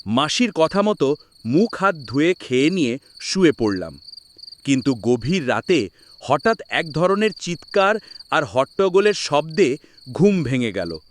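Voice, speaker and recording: A man's voice: a little high, big pitch swings, a little slow, slight background noise. A man voicing an uneasy young nephew who narrates his own ghost story in a horror cartoon. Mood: uneasy, suspenseful.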